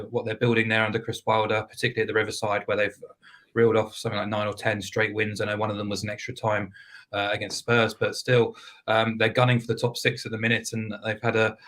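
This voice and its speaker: Football pundit, low flat voice, slightly hoarse, measured and hesitant. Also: male voice. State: losing his voice. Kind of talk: casual podcast chat.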